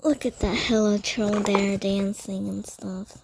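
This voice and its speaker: A woman's voice: mid-range voice, fairly even intonation, a little slow, creaky, very noisy. A woman giving casual commentary as she plays a video game. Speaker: gamer reacting to game. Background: game music.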